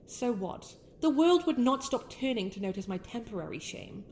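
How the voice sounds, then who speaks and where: A woman's voice: on the high side, lively intonation, normal speed, darkish tone, a little hiss or hum. Woman voicing a haughty British winter princess over ambience. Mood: tender, resigned. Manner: formal, courtly, theatrical phrasing. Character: haughty sharp-tongued young winter princess with a softer, regretful side. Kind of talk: scripted ASMR roleplay addressed to the listener.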